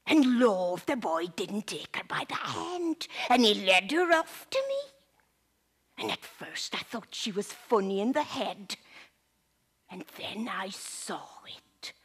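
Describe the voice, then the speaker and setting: A woman's voice: medium pitch, husky. A woman playing an old, sick woman in a poorhouse, telling her memories in a theatrical one-woman monologue. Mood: hushed. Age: older. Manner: dramatic storyteller, gasps and drops to whispers.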